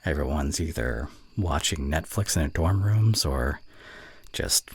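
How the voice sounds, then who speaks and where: Casting: male actor for snarky college student in ASMR roleplay, young, low husky voice, teasing, grumbling, secretly fond. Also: animated, unhurried, full character voice. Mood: calm, teasing. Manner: teasing, grumbling, with sighs and breathy murmurs. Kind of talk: intimate ASMR roleplay acting.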